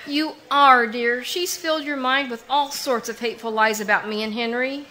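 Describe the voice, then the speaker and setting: A woman playing a composed elder sister who speaks calmly and firmly, in a live 1940s-style radio drama. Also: fairly high pitch, normal speed. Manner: calm, firm, pleading.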